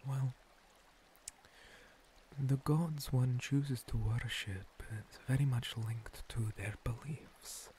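A man playing a gentle, long-lived monster cleric in a whispered ASMR roleplay, breathy, courteous and a little hesitant, with formal phrasing. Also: medium pitch, fairly even intonation, slow, slight background noise, lightly acted. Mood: calm, reflective.

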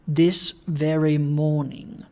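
Anxious, grave gentleman's secretary for a classic tale, acted by a narrator; confiding, urgent, middle-pitched. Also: level tone, slow pace. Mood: shocked, grave. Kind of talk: dramatised audiobook of a classic story.

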